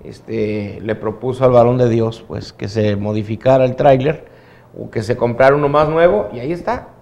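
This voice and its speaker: A middle-aged man chatting casually and a little haltingly as a news co-anchor in a studio. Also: medium pitch, vocal fry, a little mellow, dull audio, a little hiss or hum. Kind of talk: casual on-air chat.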